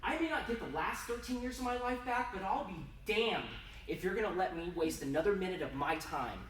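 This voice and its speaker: A man's voice: sits high, expressive, brisk, a bit bright, pitched above the performer's own voice. A man voicing a bitter, scornful, fed-up middle-aged wife in a live story reading, harsh and mocking. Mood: furious, final. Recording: roomy, distant, noisy live event recording.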